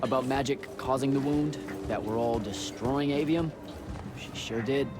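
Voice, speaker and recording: A man's voice: mid-range voice, normal speed, over music, natural voice. An actor playing a cocky young male battlemage recruit in a video game, casual and sarcastic with a hesitant streak. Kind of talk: naturalistic video game acting.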